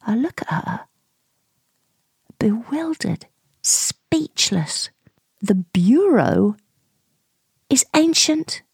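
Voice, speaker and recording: Slow, husky woman narrating a radio drama. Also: on the high side, big pitch swings, no echo, studio quality. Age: 40s. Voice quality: breathy, husky. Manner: short clipped phrases, hushed and confiding. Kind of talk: intimate first-person dramatic monologue, present tense.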